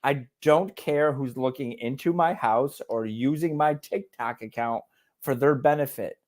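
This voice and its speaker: A man's voice: medium pitch, a little slow, a little mellow. A man co-hosting a casual podcast, talking bluntly and with heavy swearing as he rants. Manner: blunt, profane, fired-up ranting. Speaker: podcast co-host.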